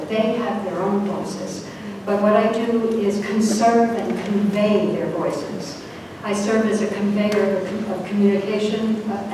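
Older woman speaking in an echoey hall. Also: medium pitch. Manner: measured, reflective, a few restarts. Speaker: panelist. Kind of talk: panel talk. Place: community meeting hall, indoors. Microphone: table mic on stand. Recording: echoey hall from far off, noisy.

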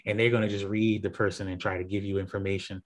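A man's voice: fairly low pitch, level tone, quick pace. A man leading an online group session on astrology, talking casually with many fillers and restarts. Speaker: astrology presenter.

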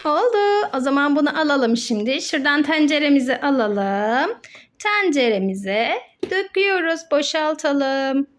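A woman with a high voice presents toy play for children. She improvises her narration at a slow pace, explaining each small step simply to young viewers. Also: sing-song.

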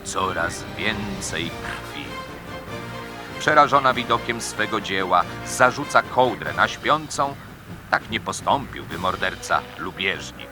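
Slow, low-voiced man narrating dramatic true crime. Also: lively intonation. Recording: music bed behind the voice. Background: music.

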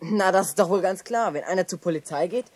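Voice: mid-range voice, fast, lightly acted. A performer playing a resourceful, confident youngster who leads a group of friends in a radio play. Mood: scheming, confident.

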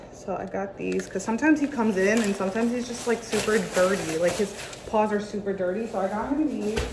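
Young woman vlogger, 20s, higher voice, chatty filler-heavy delivery, phone mic at arm's length, noisy home background. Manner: chatty, rambling, lots of ums and likes. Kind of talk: casual chat to camera. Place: home living room and car. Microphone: handheld phone at arm's length.

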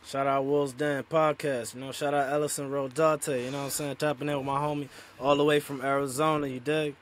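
A man's voice: medium pitch, level tone, fairly quick. A man in his twenties, born in Sudan and raised in Australia, talking as a guest over a video call in laid-back street slang. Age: 20s. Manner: laid-back street slang, constant "you know what I'm saying". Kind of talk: casual interview talk. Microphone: headphones on, video call.

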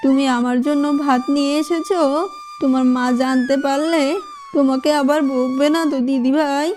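Woman as a high-pitched, gentle old vixen granny. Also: steady tone, normal speed, faint music behind, lightly acted. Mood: gentle, grateful. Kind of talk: children's cartoon dubbing.

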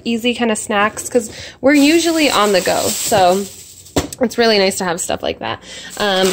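Young mom-type woman rambling on, lots of um and like, filmed on a phone in her kitchen, noisy background. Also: mid-range voice. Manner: chatty, rambling, many fillers and self-deprecating laughs. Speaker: vlogger. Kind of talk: casual grocery haul chat. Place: home kitchen.

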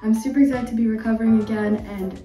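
A woman's voice: fairly high pitch, steady tone, fast talker, warm tone, poor audio. Upbeat young woman vlogging, music underneath. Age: young adult. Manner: chatty, upbeat, lots of "so yeah" and "like". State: recovering from a cold, slightly sore throat. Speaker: student vlogger. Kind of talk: casual day-in-the-life vlog. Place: home room with blinds and a bathroom. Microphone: phone or camera at arm's length.

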